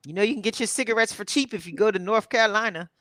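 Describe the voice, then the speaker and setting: A woman from Philadelphia chatting casually as a co-host, relaxed and chatty. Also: mid-range voice, no room sound. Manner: relaxed, chatty, drawn-out fillers. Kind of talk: casual chat.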